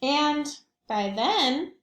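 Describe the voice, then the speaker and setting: High-pitched woman reading to young children. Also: very slow, roomy sound. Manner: expressive, asks the listeners questions, exclaims. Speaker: children's read-aloud host, teacher or librarian. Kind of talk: interactive picture-book read-aloud for young children.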